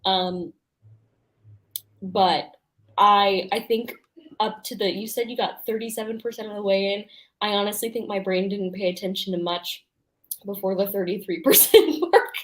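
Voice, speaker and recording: Woman guest on a book live, normal pitch, casual but thoughtful, kinda stops and restarts as she works out her point. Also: fairly even intonation, normal speed.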